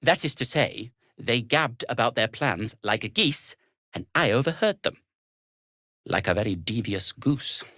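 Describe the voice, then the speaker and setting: A man's voice: medium pitch, animated, unhurried, sharp tone, dry recording, studio quality. A man playing a fussy, loyal, long-suffering middle-aged gnome in a video game, hesitant and stammering, with wry, formal wording. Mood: earnest, wry. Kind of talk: video game character acting.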